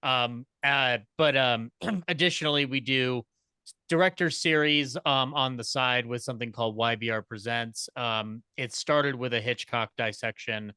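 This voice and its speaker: A man's voice: mid-range voice, moderate pace, sharp tone, clear, resonant tone, dry recording. A man talking casually and at length as a podcast panelist, with a wordy, enthusiastic fan's manner.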